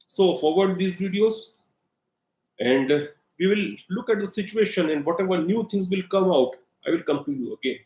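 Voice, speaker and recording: High-pitched Indian man, blunt commentary. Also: animated, moderate pace, darkish tone, echoey room. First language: Hindi. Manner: blunt, emphatic, frequent "uh" fillers. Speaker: political commentator. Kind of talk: solo commentary monologue.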